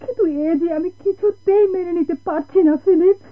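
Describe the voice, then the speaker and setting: A woman's voice: very high-pitched, fairly even intonation, a little fast. A woman with a Bangladeshi accent playing an anguished wife who refuses to accept widowhood, tearful and distraught, in a radio melodrama. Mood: anguished, pleading. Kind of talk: Bengali radio melodrama acting. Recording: narrow-band old radio recording with music.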